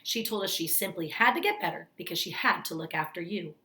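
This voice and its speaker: A kindly nurse from a storybook, voiced by a woman reading aloud. She is cheerful and gently protective, reassuring in manner, with lively intonation. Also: on the low side, fairly quick.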